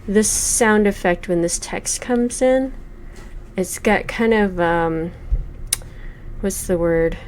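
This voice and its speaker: A woman's voice: mid-range voice, slow pace, background noise. A woman in her forties giving thoughtful, hesitant let's play commentary with many fillers while reviewing a game. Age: 40s.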